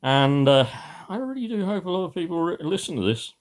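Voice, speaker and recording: A man's voice: fairly high pitch, sing-song, a little fast. An older man reading a children's story theatrically, slipping into dry, muttered asides. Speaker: amateur storybook reader.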